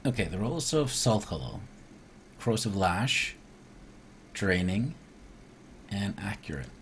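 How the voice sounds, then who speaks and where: A man from Iceland doing casual live gameplay commentary, reading game text aloud and thinking out loud as he mutters to himself. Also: fairly low pitch, sing-song, slow pace, slight background noise. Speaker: video game streamer.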